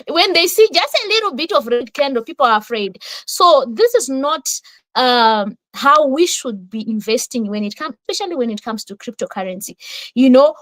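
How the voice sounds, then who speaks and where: A woman's voice: high voice, dry recording, rough recording. A woman giving a motivational talk on investing, emphatic and repetitive, often asking rhetorical questions. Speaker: guest speaker.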